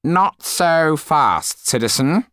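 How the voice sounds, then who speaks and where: A man's voice: fairly high pitch, expressive, takes it slowly, fairly bright tone, no echo, studio quality, pitched above the performer's own voice. A man voicing an insolent, lizard-like doorman in an audiobook, sneering and brusque at those he turns away. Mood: sly insolence. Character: insolent lizard-like doorman with hooded eyes, petty revolutionary.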